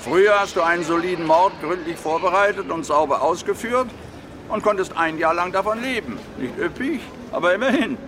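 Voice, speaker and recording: A man's voice: high-pitched, brisk, background noise, close to an everyday voice. An actor playing a sardonic, world-weary male crime writer in a German radio drama, talking in an ironic, musing way. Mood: wry, nostalgic.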